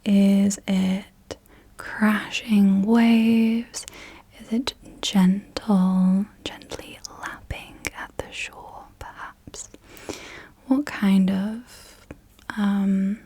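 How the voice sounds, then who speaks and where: A young woman whispering softly and unvoiced as an ASMR host, gently asking slow questions in a guided visualisation, close to the mic. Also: medium pitch, steady tone, slightly rough. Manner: soft unvoiced whisper throughout. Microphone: close sensitive mic.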